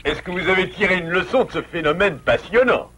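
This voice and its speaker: A man's voice: high voice, fairly quick, pushed and bright, slight background noise. A man voicing a self-assured, learned adult beaver in a French cartoon, articulate, didactic and reassuring. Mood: instructive. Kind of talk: French animated series dubbing.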